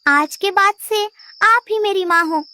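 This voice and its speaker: A woman's voice: very high-pitched, unhurried, pushed and bright, dry recording, full character voice. A woman voicing a tearful, clingy little girl bird in a children's cartoon, pleading in a childlike way. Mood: pleading, remorseful. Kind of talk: Hindi children's cartoon voice acting.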